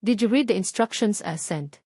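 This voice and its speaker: A frosty, commanding young heir , as a woman narrator puts him on, clipped and sarcastic and slipping into English mid-sentence. The pitch sits in a middle range, and the recording is close and dry with no room sound. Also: lively intonation, normal speed, mellow, husky, no echo. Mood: critical. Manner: curt and sarcastic, mixing English into Tagalog. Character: cold, commanding wealthy young heir, male.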